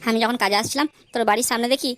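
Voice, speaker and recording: A foul-mouthed male busybody from a comedy cartoon acted by a man, gossiping at breakneck speed. He is crude and nosy, with clear noise behind the voice. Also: extremely high pitch, racing, voice pushed up. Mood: agitated. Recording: clearly noisy background.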